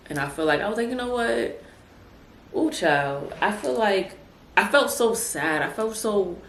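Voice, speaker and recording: Chatty low-voiced New woman, roomy. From Brooklyn, New York City. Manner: chatty, animated asides like "child" and "honey". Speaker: podcast host. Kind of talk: casual chat. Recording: echoey room with faint noise.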